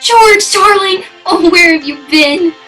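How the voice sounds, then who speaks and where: A woman plays an emotional wife in a radio play, with a very high voice. She speaks sincerely and dramatically over music. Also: takes it slowly, pushed and bright, full character voice. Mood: relieved, loving. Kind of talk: old-time radio drama acting.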